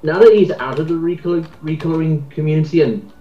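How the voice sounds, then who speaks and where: A man's voice: a little high, fast talker, dark tone. A man in a casual, opinionated group chat, speaking hesitantly and repeating his words. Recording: echoey, muffled amateur voice recording.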